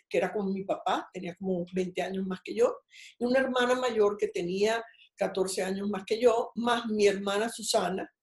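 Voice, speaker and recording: A woman's voice: mid-range voice, darkish tone, roomy sound. A Cuban-born older woman, a guest on a call, telling her life story in a rambling, personal way with many restarts. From Cuba. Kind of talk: casual interview over a call.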